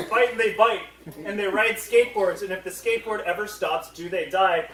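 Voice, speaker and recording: A man with a high-pitched voice gives a casual comic mock lecture as a panel co-presenter. He is sarcastic while pretending to be serious. The room echoes and he sounds a little way from the microphone. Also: moderate pace, crowd noise. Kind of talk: casual comedic mock lecture to a live audience. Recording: roomy echo, picked up from a distance.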